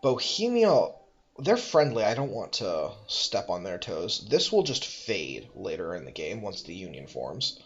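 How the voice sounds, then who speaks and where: A man talks through his moves in a strategy game, pausing to think and correcting himself with dry little jokes. His voice sits low but swings up and down a lot. The recording is a bit dull and lo-fi, with soft music underneath. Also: wildly swooping pitch. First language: English. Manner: musing, self-correcting, dry asides. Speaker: let's play host.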